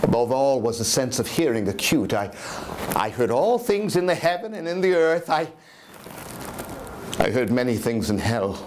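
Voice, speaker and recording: A man's voice: medium pitch, sing-song, moderate pace, lightly acted. A man playing a nervous, obsessive madman in a theatrical Gothic reading, agitated and stammering as he insists he is sane. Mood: nervous, defensive. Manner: agitated, stammering, repeating words. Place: small dim room in a historic house with seated audience. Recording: noisy live room recording.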